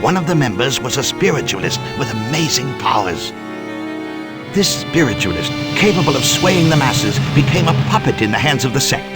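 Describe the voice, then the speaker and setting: An actor playing a smug, traitorous cultist in a video game, mocking and declamatory. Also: male voice, mid-range voice, sing-song, moderate pace, loud music behind the voice, natural voice. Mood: gloating confession. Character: gloating traitorous male cultist, smug villain. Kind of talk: video game villain acting.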